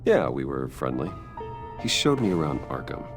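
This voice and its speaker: A guarded, low-voiced wealthy businessman. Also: sing-song, normal speed. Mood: guarded, composed. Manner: guarded, terse, defensive. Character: guarded wealthy businessman with a secret hero life. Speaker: voice actor in a story video game. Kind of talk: dramatic game dialogue. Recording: dialogue over clear background music.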